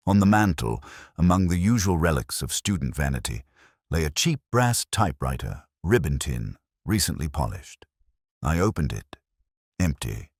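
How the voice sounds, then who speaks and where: A man narrating a scripted first-person detective story in a measured, literary, reflective way. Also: a bass voice, no echo, studio quality.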